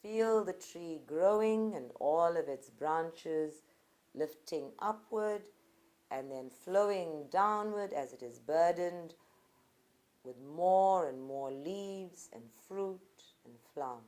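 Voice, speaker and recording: A woman leading a guided group meditation, speaking deliberately in short phrases with pauses between them. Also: fairly low pitch, darkish tone. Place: live group session at a centre.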